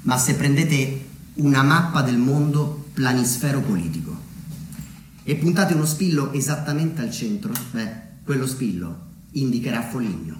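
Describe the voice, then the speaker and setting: A man delivering a theatrical narrative monologue, deliberately, with dramatic pauses and emphasis. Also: medium pitch, big pitch swings. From Umbria, Italy. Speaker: solo theatre storyteller. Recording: roomy echo with background noise.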